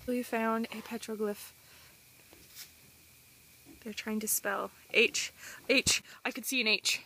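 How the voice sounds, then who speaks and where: A young woman filming a casual road trip vlog, teasing and excitable, recorded on a handheld phone outdoors and in a car. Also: fairly high pitch, takes it slowly. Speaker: vlogger. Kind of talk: casual travel vlog chat.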